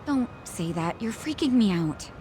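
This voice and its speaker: An actress playing a sharp-tongued, skeptical, no-nonsense woman companion in a video game dub, blunt and wary. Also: a little high, animated, unhurried, slight background noise. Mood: nervous. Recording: music behind dialogue.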